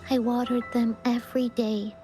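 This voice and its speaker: A woman voicing a sweet, wistful little girl in a video game, gentle and earnest in manner. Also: on the high side, flat delivery, normal speed, faint music behind, close to an everyday voice. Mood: wistful, sad. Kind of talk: video game character dialogue.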